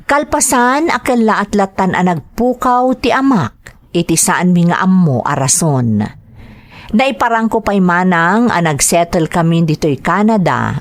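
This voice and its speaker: A woman with an Ilocano accent hosting a drama show, reading greetings and a letter as scripted narration. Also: lowish voice. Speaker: drama host and letter reader.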